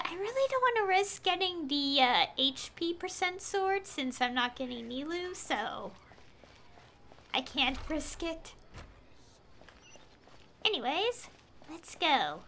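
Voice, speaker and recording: Female streamer, casual and musing: bright, lively swings in pitch, reads game lines aloud. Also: slow pace, pauses often, slight background noise. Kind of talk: casual livestream chat and reading game dialogue aloud.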